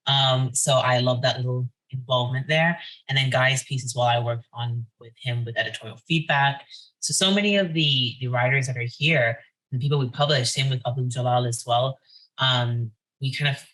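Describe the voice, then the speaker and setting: A woman's voice: a bass voice, a bit bright, rough recording. A woman speaking as a poetry editor, giving casual, enthusiastic opinions in a discursive, unscripted way.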